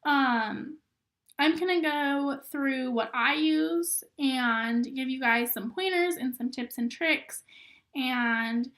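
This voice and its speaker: A young woman recovering from illness and a little hoarse, chatting casually through a makeup tutorial with lots of "um" and "like.". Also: high-pitched, slow pace, fairly bright tone. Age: young adult. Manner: chatty, filler-heavy, lots of "um" and "like". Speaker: rodeo queen giving makeup tips.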